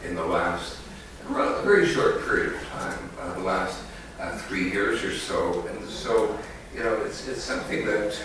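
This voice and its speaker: A man's voice: low voice, noisy background. A man giving an academic conference talk as an economist, hesitant, with many fillers and restarts, recorded in an echoey hall from a distant mic.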